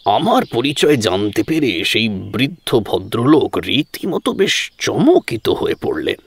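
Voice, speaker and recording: A man's voice: mid-range voice, sing-song, unhurried, gravelly, breathy edge. A man telling a scripted first-person story as a dramatic narrator, in a formal, literary and theatrical manner.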